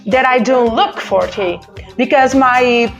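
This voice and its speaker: Portuguese woman, 40s, call-in podcast host; unhurried, slightly high voice, chatty with hesitations, soft music under her. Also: lo-fi sound. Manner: chatty, hesitant, laughs often. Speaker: podcast host taking calls. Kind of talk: casual live call-in chat.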